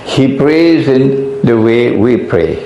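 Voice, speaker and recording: Slow, deliberate Trinidadian scholar. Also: male voice, mid-range voice, mellow, lo-fi sound. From Trinidad and Tobago. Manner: deliberate, emphatic, testy with questioners. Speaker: Islamic scholar lecturer. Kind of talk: lecture question-and-answer session. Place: large echoey lecture hall. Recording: very echoey hall with faint noise.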